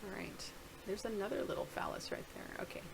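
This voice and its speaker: A woman's voice: mid-range voice, brisk, vocal fry, background noise. A middle-aged woman casually talking through a screen-share video blog, rambling and thinking aloud with many restarts. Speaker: video blogger.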